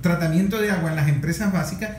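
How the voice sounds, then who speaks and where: A man with a Venezuelan accent talks in a fairly high voice as a discussion host. His delivery is reflective and conversational, halting at times. He is recorded in an echoey room with faint noise behind him. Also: a little mellow.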